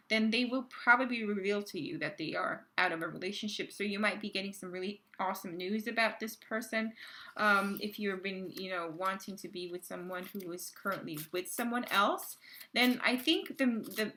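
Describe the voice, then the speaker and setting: A woman reading tarot cards to her viewers in a casual, halting way, full of fillers and restarts, her voice a little raspy from a cold. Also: medium pitch, bright, forceful tone. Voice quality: gravelly. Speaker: tarot card reader.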